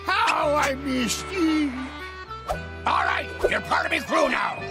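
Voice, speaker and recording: A man's voice: very high voice, sing-song, slow, music in the background, heavily acted. A man voicing a blustering, menacing ghost pirate captain in a cartoon game, with a theatrical pirate accent and a grandiose, bellowing manner. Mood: commanding, gloating.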